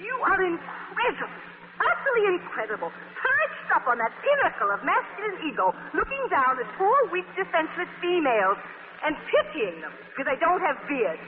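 An actress playing a proud, sharp-tongued woman magazine editor in 1940s radio acting style, heard on a scratchy, tinny broadcast. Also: very high voice, wildly swooping pitch, normal speed, big-room sound, full character voice. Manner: crisp, imperious, sharp-tongued.

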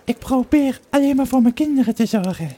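A man acts out a cornered woman legionary in an audio drama. The voice jumps far up in pitch and swings widely. It pleads with a defiant, desperate edge as a mother would in defending herself. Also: lively intonation, fairly quick, warm tone, slight background noise. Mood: pleading.

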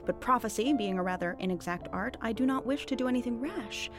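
Actress as sardonic female soothsayer, period audio drama, highish pitch, articulate, needling, clear background music. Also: quick pace, natural voice. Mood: insistent, brisk. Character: shrewd, sardonic, insistent woman soothsayer of Puritan times.

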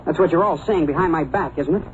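A man's voice: on the high side, fast talker, natural voice. A man playing a bitter, defensive young jockey, curt and sarcastic, in an old radio drama. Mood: bitter, defiant. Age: young adult. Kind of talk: 1950s radio drama acting. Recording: muffled, hissy old radio recording.

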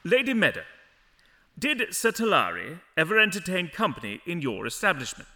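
An actor playing a pompous, imperious young judge in a fantasy audio drama, commanding and officious. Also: male voice, high-pitched, wildly swooping pitch, moderate pace, pushed and bright, exaggerated voice.

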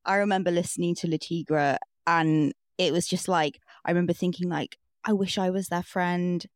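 A young woman from England, a band's guitarist, speaks with a very low voice. She talks hesitantly in a casual interview and leans on fillers as she thinks. The recording is dry and close. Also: steady tone, moderate pace, no room sound. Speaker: band guitarist, guest.

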